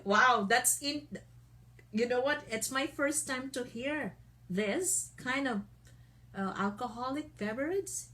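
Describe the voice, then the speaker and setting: A woman's voice: high voice, slow pace, bright, forceful tone. A woman teaching an online English conversation class, patiently prompting and correcting her student.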